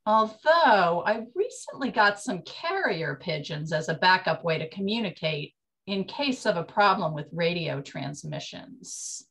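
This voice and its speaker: An actress playing a brash, slangy speakeasy proprietress of the 1920s in a comic period drama. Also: female voice, fairly low pitch, big pitch swings, measured pace, fairly bright tone, exaggerated voice. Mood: flustered, scheming. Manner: bossy, slangy. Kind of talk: period comedy radio-play acting.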